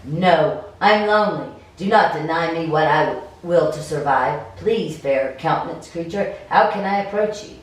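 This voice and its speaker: A woman's voice: low voice, moderate pace, hardly any pauses, close to an everyday voice. A woman voicing a lonely, imploring, formal-spoken cold female being from a story game. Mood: pleading. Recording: very echoey room, distant mic.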